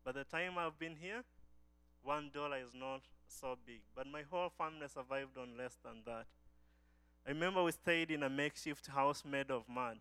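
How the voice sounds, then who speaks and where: A man's voice: mid-range voice, moderate pace, bright, forceful tone, clear, resonant tone, dry recording. A young man from Uganda giving an earnest church testimony as a guest speaker, often restarting his phrases.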